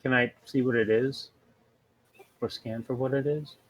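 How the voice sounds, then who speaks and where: A man with a medium-pitched voice takes part in a tabletop game as a player. He speaks casually, asking questions and checking how the rules work. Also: steady tone, a little slow.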